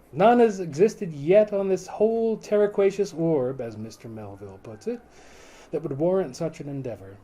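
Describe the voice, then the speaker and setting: A man's voice: medium pitch, mellow. A man playing a proud, bitter condemned sailor of the 1860s, telling his tale in theatrical, formal old-fashioned language in a dramatic novel reading. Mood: scornful, bitter. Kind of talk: dramatic reading of a novel aloud, first-person monologue.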